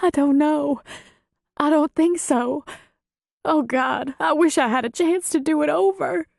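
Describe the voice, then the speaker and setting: A woman voicing a distraught middle-aged rural grandmother in an audiobook, with a quavering, pleading voice and halting, folksy speech. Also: sits high, gravelly, a little mellow, no room sound, studio quality, voice pushed up. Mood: anguished. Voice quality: quavering, wailing voice. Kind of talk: audiobook character dialogue.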